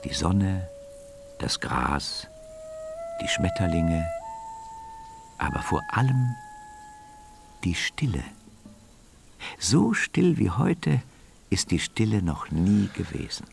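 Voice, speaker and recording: A man narrating a scripted children's story in a measured way, now and then dropping to a hush. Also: sits low, huge pitch swings, creaky, over music.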